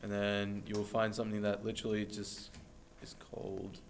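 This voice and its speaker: A young male student with a fairly low, flat voice walks his class through calculator steps. He sounds offhand and plain. Faint noise hums in the background. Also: normal speed. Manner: offhand, plain explaining with fillers. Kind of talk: classroom demonstration.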